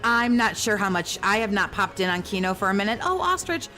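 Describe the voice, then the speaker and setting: A woman's voice: mid-range voice, a little fast, over music. Rambling, critical woman commentator. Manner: rambling, critical, profane, fairly monotone. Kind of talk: reaction commentary.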